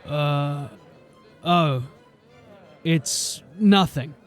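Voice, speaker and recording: City watchman, high register, dry, guarded, blunt, sardonic, fantasy audio drama, light music bed. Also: very slow, clean tone. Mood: shaken. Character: wary, sardonic male city watch officer.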